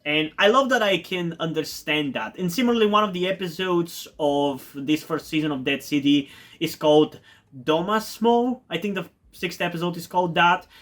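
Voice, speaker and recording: A man from Bulgaria, with Bulgarian as his first language, rambles through a TV review in a slightly raised voice. He stumbles over fillers and restarts, then piles on eager repeated words when something excites him. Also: a little high, normal speed. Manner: enthusiastic, repeats words for emphasis. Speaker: TV review YouTuber. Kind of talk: casual spoken TV review.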